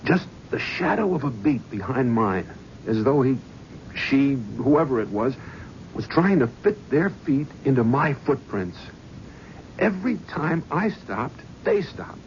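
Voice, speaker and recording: A jittery, hard-drinking talent agent with a New York accent, tense and defensive in a 1970s radio drama. His voice sits at an ordinary pitch for a man. The sound is dull old broadcast audio with a faint hiss. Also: big pitch swings, unhurried. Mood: shaken.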